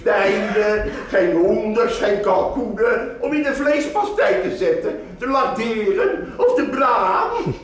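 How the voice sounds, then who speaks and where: A woman's voice: on the high side, very animated, slow, voice pushed up. A man putting on a brassy poultry market woman. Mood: coaxing. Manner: hawking, coaxing customers. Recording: roomy distant echo.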